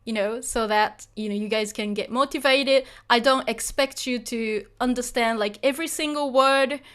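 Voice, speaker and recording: A woman's voice: fairly high pitch, normal speed, fairly bright tone. A Japanese woman teaching Japanese in English with a Japanese accent, answering a live chat, hesitant and apologetic with lots of fillers.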